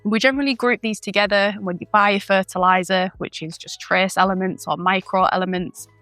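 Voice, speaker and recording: A woman who is unwell and croaky, explaining a topic in a chatty, informal way as the host of a channel. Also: medium pitch, fairly even intonation, brisk, sharp tone, no room sound, soft background music. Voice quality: gravelly. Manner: chatty, informal, with fillers and self-corrections. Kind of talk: educational explainer.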